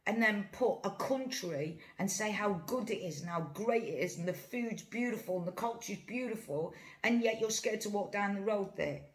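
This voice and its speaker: A middle-aged vlogger from Britain with a gravelly, creaky voice, talking bluntly straight to a camera set in front of them on the table. They are seated in a small indoor room and tell their stories in an animated way, acting out each side of the conversation. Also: mid-range voice. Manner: blunt, animated storytelling, acts out both sides of conversations. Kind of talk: casual chat while eating.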